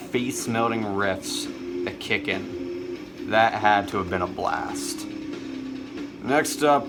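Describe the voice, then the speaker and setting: A man's voice: fairly low pitch, noisy background. A young man showing off his cassette collection in a casual, enthusiastic vlog, with plenty of fillers. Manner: enthusiastic, chatty, with many uh fillers. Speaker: cassette collector and video host. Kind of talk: casual show-and-tell vlog.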